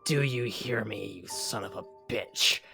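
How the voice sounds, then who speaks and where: A man's voice: mid-range voice, unhurried, vocal fry, sharp tone, faint music behind, exaggerated voice. A man voicing a grieving, vengeful husband in an amateur dramatic reading. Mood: vengeful grief. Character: grieving, vengeful husband, foul-mouthed hero.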